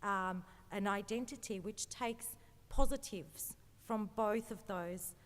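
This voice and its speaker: A woman's voice: mid-range voice, slow. A woman from Egypt living in Australia, speaking reflectively as a panelist in an echoey hall. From born in Egypt, lives in Australia. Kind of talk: personal reflection in a panel discussion. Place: large indoor event hall. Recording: echoey hall public address sound.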